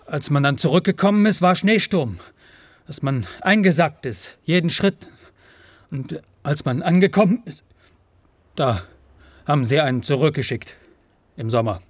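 Halting, evasive humble peddler, dry close sound. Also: male voice, on the high side, no echo. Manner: halting, rambling, says "one" instead of "I". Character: rootless Swiss peddler, evasive, humble, anxious applicant. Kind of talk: German radio play acting.

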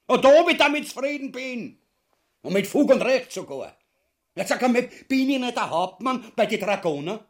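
An actor with a Viennese accent playing a pompous, crude, cowardly husband in a satirical radio play, blustering and muttering theatrically. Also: male voice, extremely high pitch, very animated, normal speed. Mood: indignant, boastful.

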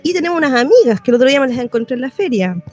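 High-pitched woman co-host. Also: very animated, rapid-fire, a little mellow, faint music behind. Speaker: radio co-host. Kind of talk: casual radio chat.

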